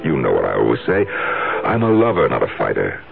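An actor playing a smooth-talking, brooding husband who married for money, in 1970s radio drama style. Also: male voice, a bass voice, huge pitch swings, fairly quick, heavily acted. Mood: brooding, agitated. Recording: dull lo-fi 1970s radio recording, loud music.

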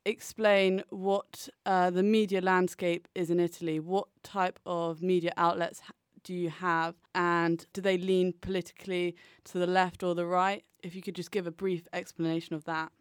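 A woman hosting a current affairs podcast, reading her intro and asking measured questions. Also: on the low side, little pitch movement, clear, resonant tone, no room sound, studio quality. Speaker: podcast host.

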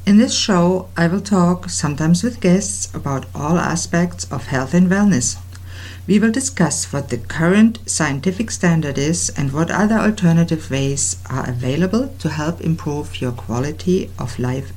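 Low, measured middle-aged woman with a German accent, show host reading her intro. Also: darkish tone. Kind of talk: scripted personal introduction.

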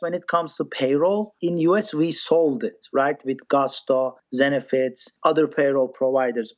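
A man from Turkey, a startup founder, chatting casually as a podcast guest, animated and quick to laugh. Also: on the high side, highs cut off. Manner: animated, laughs often, non-native grammar slips. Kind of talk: casual interview chat.

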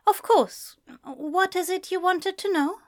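A woman voicing an anxious, soft-hearted young male student who speaks in careful, formal, hesitant phrases in an audiobook story. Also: very high voice, slow pace, pitched above the performer's own voice. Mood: careful, nervous.

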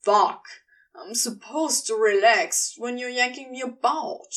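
A woman's voice: a little high, unhurried, distant mic, natural voice. A woman reading an exasperated, needy, foul-mouthed man in an audiobook romance, blurting out complaints. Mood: exasperated, frustrated. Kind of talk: audiobook character voice.

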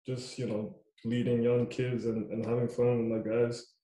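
A man's voice: medium pitch, little pitch movement, normal speed, warm tone, reverberant, low-quality recording. A young man coaching youth basketball, talking casually in a loose, repetitive way. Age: young adult. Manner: loose, repetitive, says "you know what I mean" a lot. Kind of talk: casual podcast chat.

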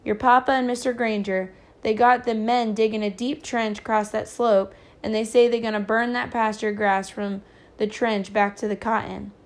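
A man's voice: sits high, level tone, moderate pace. Woman voicing an earnest Southern country boy. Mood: earnest, matter-of-fact. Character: earnest, puzzled country boy bringing fire news. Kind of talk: audiobook character dialogue.

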